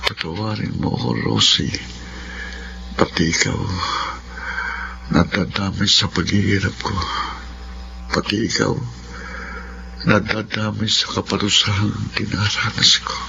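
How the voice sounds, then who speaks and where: A Filipino man playing a sick, guilt-ridden father in a radio drama, halting and remorseful. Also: on the low side, little pitch movement, vocal fry, background noise. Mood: remorseful, sorrowful. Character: sick, guilt-ridden father who abandoned his family. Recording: muffled radio drama, loud music behind.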